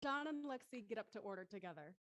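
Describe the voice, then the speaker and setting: Woman doing scripted story narration, medium pitch, dry clean room sound. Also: expressive, quick pace, fairly bright tone, no echo.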